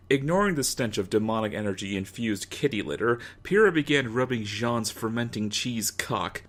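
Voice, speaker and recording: A man's voice: medium pitch, fairly bright tone. A man reading a story aloud as a dramatic narrator, in a mock-serious, theatrical manner. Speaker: narrator of a fan fiction reading.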